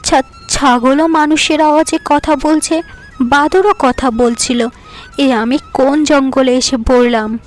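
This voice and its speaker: Plaintive orphan girl, timid and sad, fairy-tale cartoon, voiced by a woman, high-pitched. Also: steady tone, slow, faint music behind. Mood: startled, uneasy. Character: frightened, sorrowful young orphan girl.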